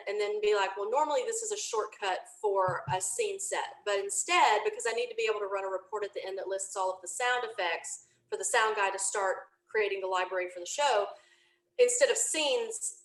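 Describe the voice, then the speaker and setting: A woman chatting casually as an interview guest, explaining her work in an animated, expressive way. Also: medium pitch, roomy sound, rough recording. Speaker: podcast guest, audio drama writer.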